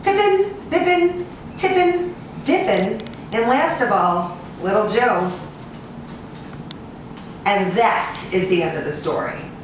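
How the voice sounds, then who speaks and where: An American woman reading a children's storybook aloud in an expressive, sing-song manner. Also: medium pitch. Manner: expressive, sing-song listing with drawn-out repeats. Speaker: animal cruelty investigator and rescue agent reading to children. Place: echoey indoor room, away from the mic. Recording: distant, very echoey room with hiss.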